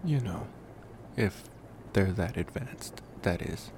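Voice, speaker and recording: A gentle young vampire acted by a man speaks in a low, creaky voice at a very unhurried pace. He muses and rambles intimately, as in an ASMR roleplay, with pauses between thoughts. Steady noise sits behind the voice. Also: heavily acted. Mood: curious, musing. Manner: musing, rambling, with pauses and sighs. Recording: noticeable steady background noise.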